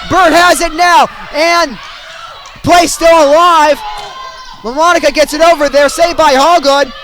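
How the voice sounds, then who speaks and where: Young adult male sportscaster, very high-pitched, excitable, clipped delivery for live game commentary. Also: unhurried, raised voice, a faint crowd. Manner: excitable, punchy delivery in short clipped phrases. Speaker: play-by-play sportscaster. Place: at sports venues, live during games.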